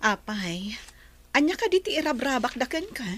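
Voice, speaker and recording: A woman's voice: high-pitched, very animated, normal speed, fairly bright tone, heavily acted. A woman with a Filipino accent playing a tender, troubled mother in a radio drama. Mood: wistful. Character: troubled, tender mother hiding a painful past.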